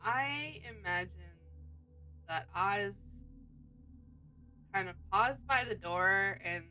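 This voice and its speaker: Bright-voiced woman playing a tabletop game. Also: mid-range voice, expressive, slow pace, soft background music, low-quality recording. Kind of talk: casual game chat.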